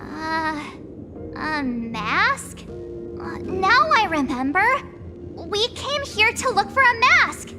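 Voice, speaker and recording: A woman's voice: extremely high pitch, big pitch swings, slow, in a large hall, over loud music, heavily acted. A woman playing a cute, forgetful, easily flustered schoolgirl in an anime-style game, hesitant and exclaiming. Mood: puzzled.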